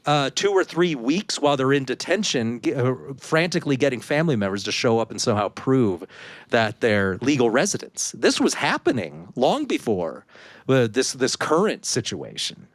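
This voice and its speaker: A man hosting a podcast interview and working as an editor, talking in a casual, animated way and laughing often. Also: mid-range voice, moderate pace, no echo. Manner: animated, laughs often, mimics others in quotes.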